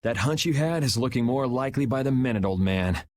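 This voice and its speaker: A man's voice: mid-range voice, expressive, brisk, natural voice. An actor voicing a cool, blunt young swordsman in a video game, dry and laid-back. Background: game music.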